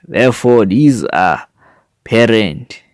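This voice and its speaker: A South African man reads a written framework aloud at an unhurried, slow pace. His voice sits fairly low, and his delivery is formal and deliberate, with an occasional stumble. The sound is dry and close, with very little room. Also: animated, no room sound. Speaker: reader of a sport development framework. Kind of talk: scripted reading of a manifesto.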